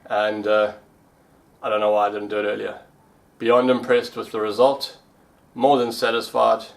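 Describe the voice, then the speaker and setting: A man with a fairly low voice talks in a casual vlog style. His delivery is informal, with pauses as he puts his thoughts together. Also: monotone, vocal fry. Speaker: vlogger and amateur pilot.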